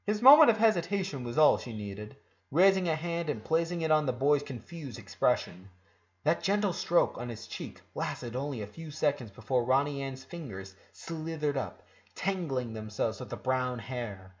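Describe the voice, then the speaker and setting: A man reading a story aloud as an expressive narrator. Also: mid-range voice, moderate pace, lo-fi sound. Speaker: fan fiction narrator.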